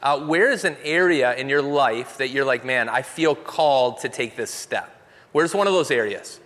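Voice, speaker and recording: A man's voice: mid-range voice, expressive, moderate pace, fairly bright tone. A man hosting a church service from the stage, upbeat and enthusiastic as he introduces a guest. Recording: long reverb of a large hall.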